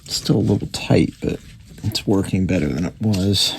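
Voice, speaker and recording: A man with a very low, gravelly voice talks through a repair at his workbench in a loose, thinking-aloud way, full of small fillers. The recording carries a clear layer of workshop noise behind him. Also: normal speed. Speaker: radio repair host. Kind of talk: casual workbench narration.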